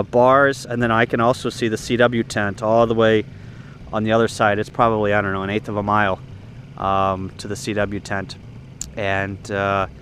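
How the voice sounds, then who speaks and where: A man's voice: fairly low pitch, fairly bright tone. A man giving an informal, unscripted walkthrough of a camp setup outdoors, explaining things and thinking aloud as he goes. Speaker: ham radio hobbyist giving a video tour. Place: outdoor campsite.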